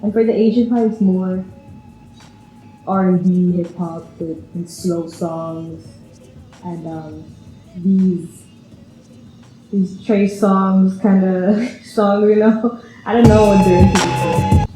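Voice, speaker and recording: A woman's voice: mid-range voice, very animated, slow pace, pauses often, dark tone. A woman in her twenties from Vienna, chatting casually and with a giggly manner in a personal interview. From Vienna, Austria. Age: 20s. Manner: chatty, giggly, breaks into laughter often. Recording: roomy echo, faint music behind.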